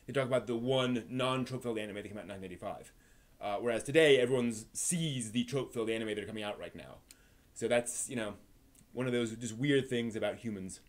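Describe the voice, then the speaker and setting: A man hosting a live stream in casual, halting chat, circling back over his phrases. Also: medium pitch. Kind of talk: casual rambling chat about anime.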